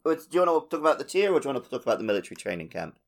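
A man's voice: medium pitch, sing-song, very fast, gravelly. A man co-hosting a podcast, chatting casually and telling little stories in a playful, jokey way. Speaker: podcast co-host. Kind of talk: casual chat, telling anecdotes.

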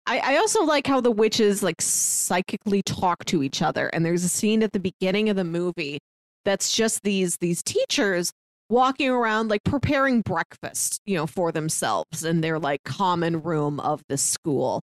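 A woman's voice: lowish voice, normal speed, no room sound. A woman co-hosting a podcast, retelling film scenes in casual chat full of fillers. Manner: lively retelling with frequent fillers. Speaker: podcast co-host.

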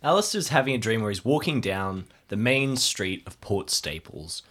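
A man's voice: lowish voice, lively intonation, moderate pace, fairly bright tone. A man talking casually as a cast member of an audio drama podcast, joking and improvising a story.

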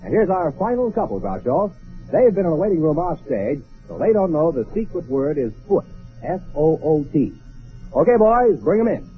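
A man's voice: on the high side, very animated, moderate pace. An American man announcing in a polished, salesmanlike, scripted old-time radio style. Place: radio studio. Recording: tinny narrowband vintage radio, faint music.

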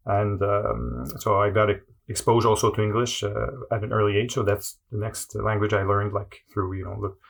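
Hesitant French-Canadian man, low voice. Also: normal speed, creaky, few pauses. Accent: Quebec French. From Montreal, Quebec. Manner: hesitant, many fillers and restarts. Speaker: guest. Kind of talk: casual interview answers.

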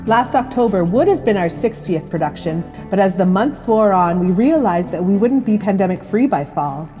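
A woman's voice: mid-range voice, lively intonation, moderate pace, big-room sound, over music. A woman hosting, giving a scripted podcast introduction. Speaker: host.

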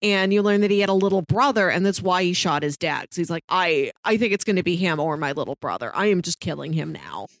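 A woman's voice: fairly low pitch, animated, fast talker, few pauses, dry recording. A woman co-hosting a discussion in a casual, conversational style. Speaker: podcast co-host discussing films. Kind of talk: casual chat.

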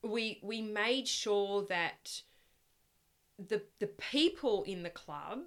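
A middle-aged Australian woman chatting casually as an interview guest, reminiscing in a loud, projecting, storytelling way. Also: medium pitch, slow. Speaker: interview guest, swim club parent volunteer.

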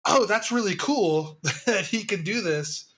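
Bright-voiced man chatting about a film. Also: high voice, expressive. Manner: quick, hedging, laughs often. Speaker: podcast co-host. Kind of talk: casual film discussion.

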